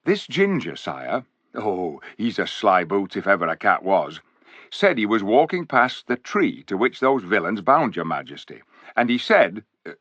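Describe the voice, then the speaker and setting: A man's voice: fairly low pitch, pushed and bright, no echo, full character voice. A man voicing a blunt, homely male dwarf who tells his news in a rambling, folksy way. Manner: folksy, rambling storyteller's telling. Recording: dull, dry recording.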